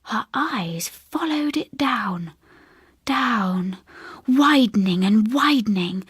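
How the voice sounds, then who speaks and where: A woman reading a ghost story as dramatic scripted narration, with hushed, suspenseful and deliberate phrasing. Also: on the high side, expressive, husky, no room sound, clean studio sound. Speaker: audiobook reader.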